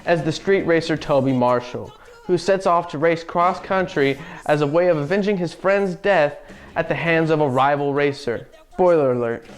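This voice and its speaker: Higher-voiced man ranting over music. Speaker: co-host of a gaming review show. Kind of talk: casual opinionated film review commentary. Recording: music playing under the voice.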